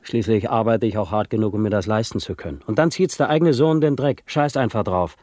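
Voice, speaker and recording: A man's voice: fairly low pitch, a little fast, a little mellow, dry recording, clean studio sound, natural voice. A man playing an aggrieved, self-righteous middle-aged father in a satirical radio play, speaking in emphatic slangy outbursts. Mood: indignant, worked up. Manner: indignant, colloquial, emphatic. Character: aggrieved hard-working father, hedonist rock fan, self-righteous. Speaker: talk-show guest.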